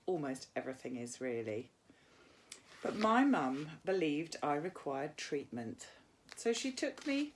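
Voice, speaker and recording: A British woman, an English teacher, reading a novel aloud and explaining it informally as she goes. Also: sits low.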